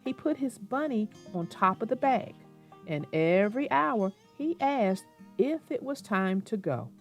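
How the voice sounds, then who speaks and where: Slow, animated woman storyteller. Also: fairly high pitch. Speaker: host and storybook narrator. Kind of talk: children's read-aloud narration. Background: music, plucked guitar.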